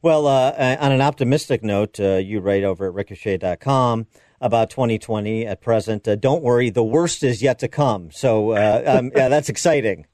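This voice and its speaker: A man's voice: mid-range voice, moderate pace, a little mellow, no room sound, clean studio sound. A man hosting a radio talk show, reading and commenting with frequent fillers and wry asides.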